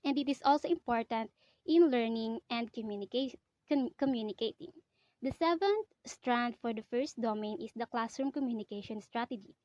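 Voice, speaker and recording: A woman's voice: fairly high pitch, normal speed, a little mellow, dry recording, lo-fi sound. A young woman with a Filipino accent, whose first language is Filipino, presents a lesson on teaching in an earnest way, often restarting and correcting herself mid-sentence. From Philippines.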